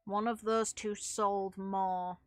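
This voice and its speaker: A woman's voice: medium pitch, level tone, slow pace, dry recording. A woman chatting casually on a livestream as a game streamer, giving her opinions in an emphatic, opinionated way. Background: music.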